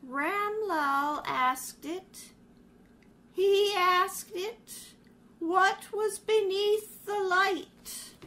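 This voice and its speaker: A woman's voice: very high voice, pushed and bright, exaggerated voice. A woman playing an entranced society woman. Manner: slow, dreamy, entranced. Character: hypnotized young society woman, dazed. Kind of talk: 1930s radio drama reenactment.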